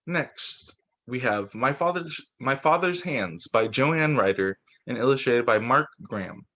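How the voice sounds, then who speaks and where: Man reading picture books aloud, lively pitch. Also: measured pace. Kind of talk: storybook read-aloud.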